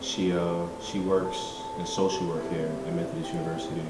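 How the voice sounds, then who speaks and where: Very deep monotone young man. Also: brisk, reverberant, over loud music, poor audio. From Staten Island, New York. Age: young adult. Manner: hesitant, repeats himself. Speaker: student interviewee. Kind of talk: casual interview answers.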